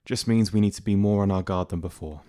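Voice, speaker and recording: Man acting a very deep-voiced, protective survivor. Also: fast talker, dark tone, natural voice. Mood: guarded calm. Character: gruff, protective male survivor. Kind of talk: audio drama acting.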